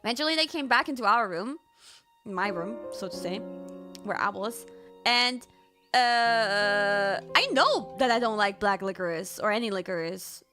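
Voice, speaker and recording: A woman streamer telling stories in casual chat, animated, jumping to squealed quotes. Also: medium pitch, unhurried, soft background music. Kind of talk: casual chat, recapping a trip.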